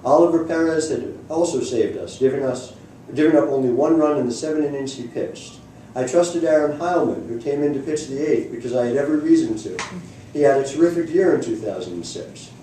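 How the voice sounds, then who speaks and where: A man's voice: on the high side, a little fast, dark tone. A man reading a prepared essay aloud to an audience. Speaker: reader at a library book reading. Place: indoor room at a public library event. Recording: echoey room, distant mic, faint noise.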